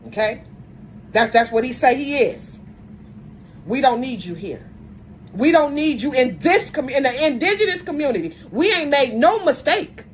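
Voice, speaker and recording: A fairly high-pitched woman delivers a heated rant into the camera. She is blunt and confrontational, repeating herself and tripping over restarts as she presses her point. A faint hiss of noise sits under her voice. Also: animated, normal speed, low-quality recording. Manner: says she has a strong voice. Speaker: video commentator. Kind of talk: angry rant addressed to camera.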